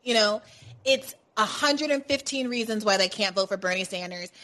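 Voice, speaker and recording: A woman hosting a political talk podcast, animated and sarcastic, building into quick rants. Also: mid-range voice. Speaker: podcast host.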